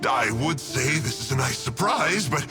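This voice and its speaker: A man's voice: medium pitch, expressive, normal speed, over music, close to an everyday voice. A man playing a smug, playfully mocking villain in a fantasy game, with a taunting, theatrical drawl. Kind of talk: dramatic game dialogue.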